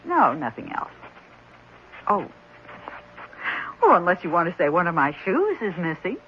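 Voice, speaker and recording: An actress playing an anxious, practical wife, expressive and fussing, in a 1970s radio drama. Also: female voice, fairly low pitch, unhurried. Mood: surprised, fussing. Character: anxious, practical wife, adult woman, exclamatory. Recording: muffled 1970s radio broadcast, faint noise.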